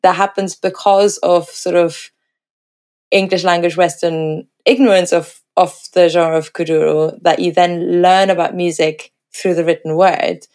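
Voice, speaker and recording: Lower-pitched woman interviewer, thinking aloud. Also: fairly even intonation, measured pace, a little mellow. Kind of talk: conversational literary interview.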